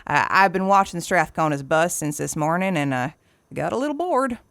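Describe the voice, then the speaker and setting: Elderly desert-town woman: gravelly, folksy, mocking, dangerous edge, dry close sound. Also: lowish voice, animated, moderate pace, a bit bright, dry recording. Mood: sly, casual. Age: older. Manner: teasing, folksy, sardonic. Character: sly, folksy, gun-toting elderly desert townswoman. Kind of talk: audio drama dialogue.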